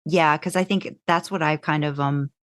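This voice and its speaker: A woman's voice: deep voice, steady tone, normal speed, a bit bright. A woman co-hosting a podcast, chatting casually with lots of "like" and agreeing "mm-hmm"s. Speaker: podcast co-host.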